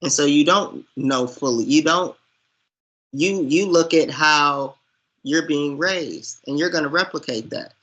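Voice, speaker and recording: A man's voice: on the high side, unhurried, fairly bright tone, highs cut off. A man giving earnest, opinionated film commentary as a co-host, speaking conversationally and with emphasis. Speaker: podcast co-host discussing films.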